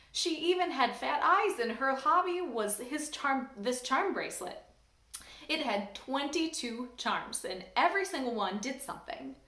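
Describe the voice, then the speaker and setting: Animated woman reading a storybook aloud. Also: high-pitched. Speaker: church staff member. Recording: roomy echo.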